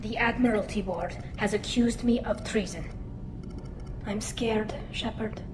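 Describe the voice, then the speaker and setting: A woman's voice: mid-range voice, measured pace, noisy background. Earnest young alien woman in echoing helmet. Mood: worried, sincere. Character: earnest young female alien Quarian engineer, loyal and anxious. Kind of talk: video game voice acting. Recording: roomy game audio with music behind.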